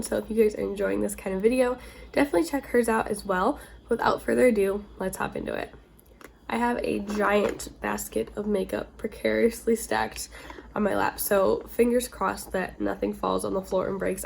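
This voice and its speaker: A woman's voice: medium pitch, gravelly, darkish tone, breathy edge. A woman getting over a cold, chatting informally with rambling asides as the host of a casual beauty vlog. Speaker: beauty video creator, host.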